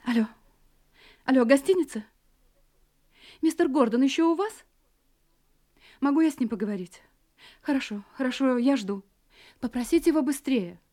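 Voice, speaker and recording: High-voiced anxious wife. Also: female voice, animated, moderate pace, long pauses, mellow. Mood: urgent, controlled. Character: anxious wife hiding terror behind sweet domestic composure. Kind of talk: Russian audio drama acting.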